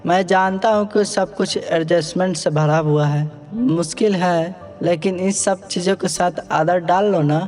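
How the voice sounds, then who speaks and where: Mild, high-voiced young village husband, acted by a man. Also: steady tone, unhurried, darkish tone, soft background music. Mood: placating. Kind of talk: Hindi cartoon moral story dialogue.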